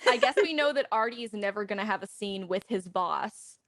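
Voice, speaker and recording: A young woman actor thinking out loud in casual, rambling speech. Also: medium pitch, expressive, fairly quick. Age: young adult. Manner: rambling, speculative, frequent "like". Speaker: actor in the show. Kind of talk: casual chat.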